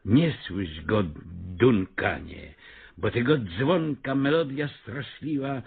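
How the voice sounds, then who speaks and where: An actor playing a pompous, excitable avant-garde theatre director in a radio comedy, stammering and grandiloquent. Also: male voice, medium pitch, slow, gravelly, breathy edge, phone-quality sound, full character voice. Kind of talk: radio comedy play acting.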